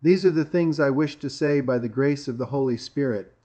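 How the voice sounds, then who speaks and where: A man's voice: medium pitch, a little fast, warm tone. A man preaching a sermon in an emphatic, repetitive, argumentative way. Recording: dull lo-fi recording.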